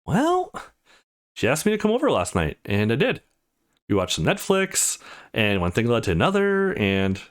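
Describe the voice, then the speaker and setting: A man's voice: mid-range voice, huge pitch swings, moderate pace. A man voicing a laid-back but defiant teenage schoolboy with a casual, slangy manner in a visual novel. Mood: bashful, frank.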